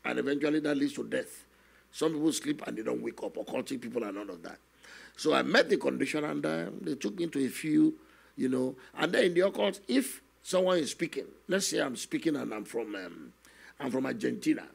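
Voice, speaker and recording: An older man, a guest minister telling his testimony slowly and earnestly in a studio interview, often adding "you know". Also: mid-range voice, lively intonation. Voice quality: gravelly, husky. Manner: slow, earnest storytelling with many "you know" asides. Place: television studio, seated on a set. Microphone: stand microphone in front of him.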